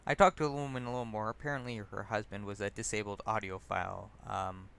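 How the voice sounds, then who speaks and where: A man's voice: lowish voice, steady tone, fast talker, vocal fry, fairly bright tone, clean tone. A man reading his own personal essay aloud as narrator, stumbling over words and correcting himself. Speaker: narrator and author.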